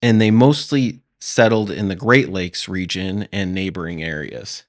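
A man with a low, deep voice walks through history in an easy, matter-of-fact way, like a podcast host talking over a map. The sound is dry and close, with no room echo at all. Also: unhurried. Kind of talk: casual explanatory talk over maps.

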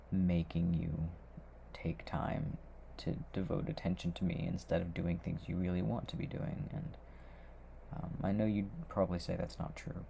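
Casting: male performer as needy young boyfriend, soft and halting, very deep crackly voice, intimate ASMR audio drama. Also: vocal fry. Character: tender, needy, giggly young boyfriend seeking comfort.

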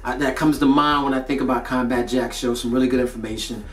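A man's voice: mid-range voice, fairly even intonation, fast. A man in his thirties with an American accent gives a casual motivational talk to camera in an emphatic, slangy way. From North Carolina, United States. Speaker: host of a motivational video series. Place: indoor studio with plain grey backdrop. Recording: roomy echo, distant mic, music behind.

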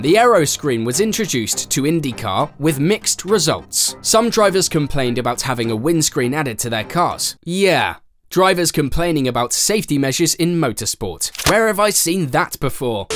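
Male voice-over narrator, slightly high and very animated, dry sarcastic wit, soft music bed. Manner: sarcastic, playful asides. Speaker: narrator of a motorsport explainer channel. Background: faint background music.

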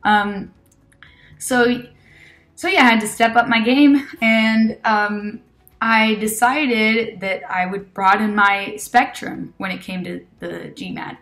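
A woman's voice: mid-range voice, fairly bright tone. A woman in her twenties from Argentina, a native Spanish speaker with an American-leaning English accent, giving a casual testimonial over a laptop webcam. She talks in a chatty way with many fillers and self-corrections. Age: 20s. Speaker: test-prep student giving a testimonial. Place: small indoor room with red wall.

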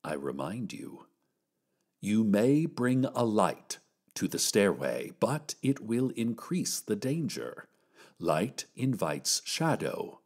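A slow, solemn stairway keeper. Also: male voice, on the low side, big pitch swings, a little mellow, slightly rough, heavily acted. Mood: grave warning. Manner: formal, measured, cautionary. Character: solemn, ominous male keeper of a mysterious stairway. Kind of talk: scripted audio fiction radio broadcast monologue.

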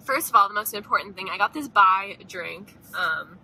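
A woman's voice: medium pitch, lively intonation, moderate pace, pushed and bright, slightly rough, rough recording. A young woman vlogger chatting casually about a shopping haul, upbeat, with lots of "like" and "um", filmed on a phone at arm's length in a parked car. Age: young adult. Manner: chatty, upbeat, many "like" and "um" fillers. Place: parked car, then a big store. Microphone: phone camera at arm's length.

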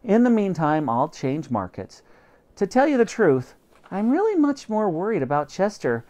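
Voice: mid-range voice, wildly swooping pitch, normal speed, darkish tone, pitched above the performer's own voice. A teacher doing a cooing, doting storybook mom. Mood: calm. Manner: cooing, sing-song. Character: doting mother fussing over the family cat.

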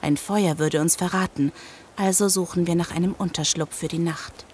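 An actress playing a weary, fearful survivor who narrates a horror audio drama in a grave, brooding manner. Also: female voice, on the low side, fairly even intonation, normal speed, a little mellow, slight background noise, close to an everyday voice. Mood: grave, anxious. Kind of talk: scripted first-person audio drama narration. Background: music.

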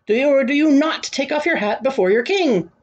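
A woman's voice: high-pitched, animated, brisk, muffled recording, voice pushed up. A woman voicing a pompous, angry, commanding storybook king. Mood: demanding. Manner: imperious, demanding. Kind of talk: storybook character acting.

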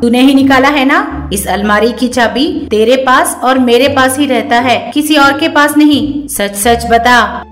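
Woman as a shrill, scolding old mother-in-law. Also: unhurried. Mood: suspicious. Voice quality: loud, shrill. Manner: harsh, accusing. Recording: roomy sound with music behind.

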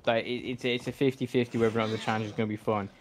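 A man's voice: medium pitch, flat delivery, racing. A young man who speaks English as a second language, giving casual let's-play commentary, rambling, correcting himself and swearing at the game. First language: not English. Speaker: gameplay streamer.

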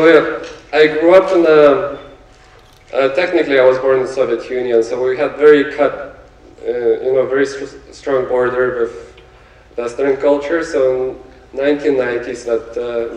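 A man in his thirties with a Lithuanian accent, giving a relaxed stage talk about his art and pausing often to find his words. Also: mid-range voice. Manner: relaxed, searching for words with many fillers. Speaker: guest artist. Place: theater stage before an audience. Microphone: handheld mic, recorded from afar. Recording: echoey theater from far off.

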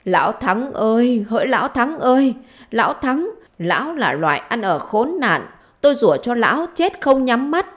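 A woman's voice: medium pitch, expressive, moderate pace, natural voice. A woman voicing a spiteful, foul-mouthed middle-aged village wife in a story, scolding, wailing and taunting. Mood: cursing.

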